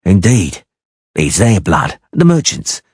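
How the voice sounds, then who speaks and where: A man voicing a cold, menacing assassin who drawls extremely slowly, in an audiobook reading. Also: sits low, big pitch swings, a little mellow, slightly rough, dry recording, studio quality, full character voice. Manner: slow drawl, sinister.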